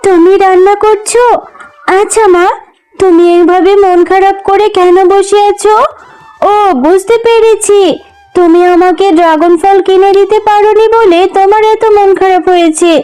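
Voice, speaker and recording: A woman's voice: very high-pitched, fairly even intonation, moderate pace, dark tone, faint music behind, poor audio. A woman voicing a sweet, eager little girl character in a children's cartoon, pleading and earnest in a childlike way. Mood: consoling. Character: Tumpa, sweet poor little fox girl, eager and obedient.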